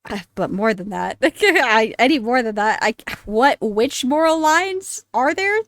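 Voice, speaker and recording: A woman's voice: a little high, expressive, measured pace, fairly bright tone, no room sound, studio quality. Laughing woman streamer reacting to a game. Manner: laughing, swearing, rambling asides. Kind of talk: casual gameplay reactions.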